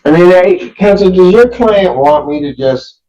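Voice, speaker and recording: Plain-spoken male judge, halting delivery. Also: sits high, animated, dark tone, muffled recording. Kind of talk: spontaneous courtroom remarks from the bench.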